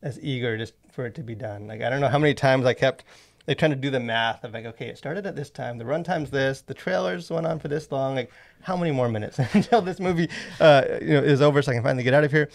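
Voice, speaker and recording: A man's voice: mid-range voice, fast talker, gravelly. A man hosting a casual podcast, rambling with many asides into a close studio mic. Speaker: podcast host and movie reviewer. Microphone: close boom-arm studio mic.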